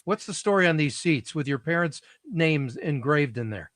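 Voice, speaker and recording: Eager higher-voiced man hosting, dry sound. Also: lively intonation, fairly bright tone, no echo. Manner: eager, chatty, quick to react and laugh. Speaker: podcast host. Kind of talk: casual interview conversation.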